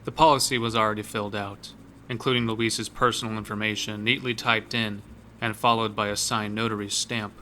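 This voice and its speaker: Low, forceful male horror narrator. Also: little pitch movement, a little fast, a little hiss or hum. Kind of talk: scripted horror story narration.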